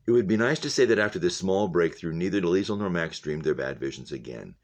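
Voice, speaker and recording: A man with an extremely low voice narrates a novel aloud as a teacher would. He reads the prose and adds plain explanatory comments for his listeners. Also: animated, brisk.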